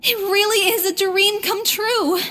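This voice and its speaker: Earnest, high-voiced young actress. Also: female voice, fairly even intonation, measured pace, pushed and bright, long reverb tail, exaggerated voice. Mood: grateful. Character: earnest, polite young female troupe actress. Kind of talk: video game voice acting.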